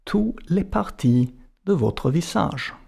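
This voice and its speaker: Very slow, creaky man guiding relaxation. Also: fairly high pitch, animated, darkish tone. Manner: measured, instructional, with short separated phrases. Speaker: yoga and meditation teacher. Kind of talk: guided relaxation narration.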